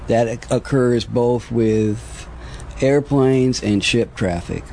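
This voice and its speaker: An American man, a government official giving formal interview answers in measured, deliberate, bureaucratic phrases, on a noisy recording. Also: mid-range voice, creaky, darkish tone.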